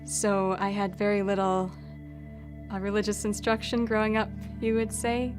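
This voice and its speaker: A woman's voice: medium pitch, completely flat delivery, normal speed. A woman from Wisconsin, a nun, speaking reflectively in an interview, with small restarts. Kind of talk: interview testimony. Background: soft music.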